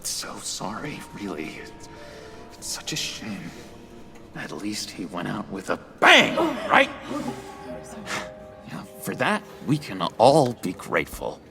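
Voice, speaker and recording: An unhinged ex-asylum patient for a video game. His low voice swoops wildly and takes its time, halting theatrically between hushed confidences and loud glee. Music plays clearly behind him. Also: big pitch swings, heavily acted. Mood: mock sorrow. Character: unhinged, giddy, needy male ex-asylum patient named John. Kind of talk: video game character acting.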